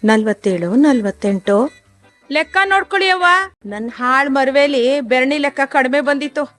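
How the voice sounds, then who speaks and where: A woman's voice: high voice, moderate pace, fairly bright tone, soft background music. A woman playing a sly old village dung-cake seller. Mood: sly chatty. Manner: rustic village dialect, sly and sweet-talking while counting. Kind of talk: radio drama acting.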